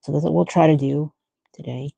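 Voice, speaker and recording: A woman with a very soft-edged, dark voice and a creaky fry talks through her art on a casual livestream. She muses aloud and lets thoughts trail off. The sound is close and dry. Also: deep voice, fairly even intonation, brisk, dry recording, muffled recording. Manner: musing, trailing off mid-thought. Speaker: artist streamer thinking aloud while drawing.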